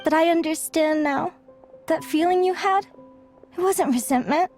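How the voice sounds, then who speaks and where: A caring young sister in a fantasy game. Her very high voice stays soft and earnest as she talks. Faint music drifts underneath. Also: normal speed. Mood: tender. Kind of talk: video game voice acting.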